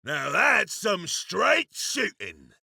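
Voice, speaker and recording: Gravelly, folksy frontier demon hunter. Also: male voice, high-pitched, big pitch swings, very slow, bright, forceful tone, no room sound, clean studio sound, heavily acted. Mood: grimly pleased. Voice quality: gravelly, raspy. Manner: folksy, drawling, courteous. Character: gruff, folksy male frontier gunslinger demon hunter, seasoned and courteous. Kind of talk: video game character voice lines.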